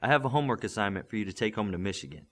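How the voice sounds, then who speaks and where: Man voicing a commanding superhero coach, very animated. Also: fairly low pitch, racing. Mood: mentoring. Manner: instructive, firm, encouraging. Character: encouraging, commanding male superhero coach and mentor. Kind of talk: audiobook character acting.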